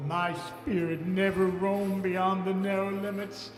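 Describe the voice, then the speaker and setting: Wailing ghost in cavernous reverb. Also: male voice, high voice, little pitch movement. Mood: mournful, ominous. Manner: slow, declamatory, wailing, drawn-out delivery. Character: tormented male ghost of a dead miser, mournful and ominous. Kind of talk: radio-style stage audio drama acting. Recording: reverberant echoing voice over faint music.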